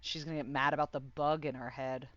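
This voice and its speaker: A woman streamer giving casual, giggly, excitable and profane live commentary on a game. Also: very deep voice, brisk, dry recording, dull audio.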